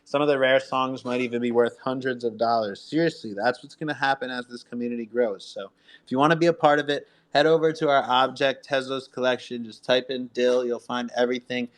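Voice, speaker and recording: A man's voice: medium pitch, steady tone, fairly quick. A man hosting a promotional livestream as a music artist, talking in a hyped, salesy way straight to his listeners. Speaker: music artist and radio host.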